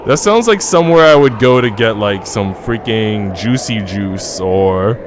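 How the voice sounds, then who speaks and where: A man's voice: lowish voice, expressive, a little slow, noisy background. A man live streaming in a joking, teasing, slangy way, switching between casual chat and reading story narration aloud. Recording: background music under voice. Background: music.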